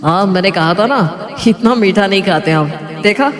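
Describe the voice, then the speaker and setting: A man's voice: sits high, lively intonation, moderate pace, fairly bright tone. A man playing a stubborn, melodramatic family man who loves sweets in a story drama. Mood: dismissive, breezy. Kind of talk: hammy family story dialogue. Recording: echoey, reverberant hall sound.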